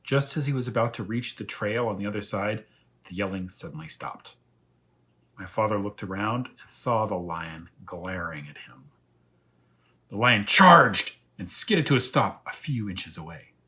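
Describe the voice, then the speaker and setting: A man reading a children's story aloud as a teacher of young pupils, in animated narration. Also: on the low side, measured pace, breathy edge.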